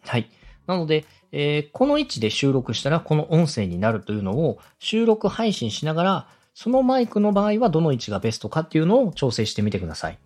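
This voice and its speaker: A man's voice: on the high side, huge pitch swings, brisk, darkish tone. A man hosting a podcast, giving polite, methodical, explanatory advice in a structured way. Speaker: podcast host, radio director coaching a co-host.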